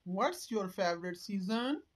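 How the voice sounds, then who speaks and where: A woman voicing a friendly cartoon boy who asks clear, simple questions in a slow scripted practice dialogue. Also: mid-range voice, animated.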